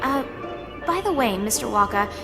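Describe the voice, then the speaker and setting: Game drama teen: cheeky, questioning, judgmental, sarcastic edge, clear music bed underneath. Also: female voice, a little high, animated, normal speed. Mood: skeptical. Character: skeptical, cheeky seventeen-year-old, blunt and judgmental. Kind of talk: acted audio drama dialogue and narration. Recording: music behind the voice.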